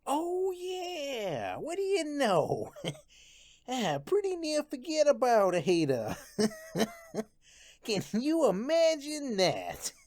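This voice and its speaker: A woman playing an excitable, greedy, gullible male gambler in a radio play, animated and slangy. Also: high-pitched, extremely slow, long pauses, no echo, studio quality, exaggerated voice. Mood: giddy, delighted.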